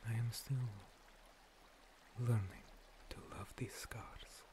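A man playing an ancient, gentle monster priest, a scarred former paladin, in a breathy, halting, soothing ASMR roleplay whisper. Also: mid-range voice, steady tone, close to an everyday voice. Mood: tender, wistful. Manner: slow, soothing, halting pauses. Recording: water streaming and pouring behind. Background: running water.

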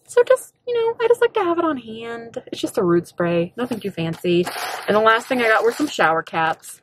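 A woman's voice: on the high side, big pitch swings, fairly quick, a little hiss or hum. A young woman vlogger in her twenties chatting casually to camera about her shopping haul from a parked car, bubbly and giggly with lots of fillers. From United States. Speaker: beauty vlogger. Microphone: camera on dashboard at arm's length.

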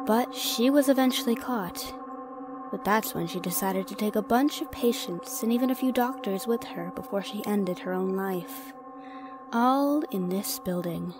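A woman's voice: medium pitch, lightly acted. A woman acting a cocky young ghost-hunting vlogger in an audio drama, brash and teasing. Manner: brash, teasing, talks herself up.

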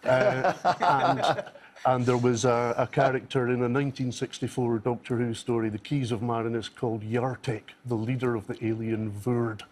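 A man, chatting as a quiz contestant, pausing with uh and um. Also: mid-range voice, fairly even intonation. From Scotland. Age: 40s. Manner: hesitant, fills with uh and um. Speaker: quiz team captain. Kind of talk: casual interview chat. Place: TV studio.

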